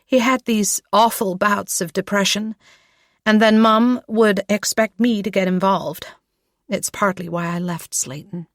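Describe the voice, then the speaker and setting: A woman voicing a grieving adult daughter with an American accent, speaking softly and wearily, often half-whispered. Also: mid-range voice, steady tone, measured pace, no echo, clean studio sound, pitched above the performer's own voice, lightly acted. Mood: weary.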